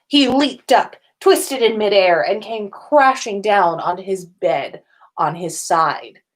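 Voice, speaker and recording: A woman reads a light comic story aloud in a middle-pitched voice. She hosts a story podcast and sometimes trips on a phrase and reads it again. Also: slow pace. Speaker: podcast host reading listener stories. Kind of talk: storybook-style reading aloud of comic fan fiction.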